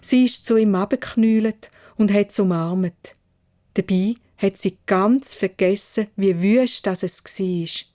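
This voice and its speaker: Female storyteller, Swiss German dialect, medium pitch, unhurried and expressive children's fairy-tale read. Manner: measured, expressive.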